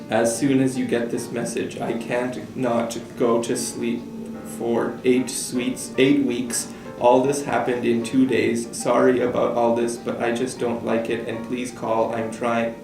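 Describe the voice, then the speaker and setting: A man reading a child's letter aloud in a halting way, stumbling and correcting himself. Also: mid-range voice, gravelly. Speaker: staff member reading aloud. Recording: distant roomy mic with guitar music. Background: guitar music.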